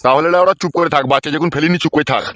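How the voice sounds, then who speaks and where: A man's voice: fairly high pitch, rapid-fire, fairly bright tone, no echo, natural voice. A man voicing a scheming, bossy, middle-aged village uncle in a comedy cartoon, scolding and constantly checking he is understood. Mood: bossy, explaining. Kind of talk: Bengali comedy cartoon dubbing.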